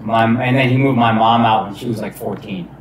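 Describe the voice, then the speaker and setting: Relaxed male comedian guest, loose and casual, recorded far off with a crowd murmur behind. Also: lowish voice, a little fast, reverberant. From United States. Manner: laid-back, swears casually, laughs often. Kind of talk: casual podcast chat.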